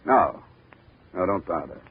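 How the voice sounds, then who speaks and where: Low-voiced hard-boiled radio investigator. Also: male voice, expressive, unhurried, husky, heavily acted. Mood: scornful, tough. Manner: sardonic, blunt. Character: wisecracking, hard-boiled male insurance investigator, sardonic and tough. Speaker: lead and narrator of detective series. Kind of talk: 1950s radio detective acting and narration. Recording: noisy narrow-band old radio broadcast.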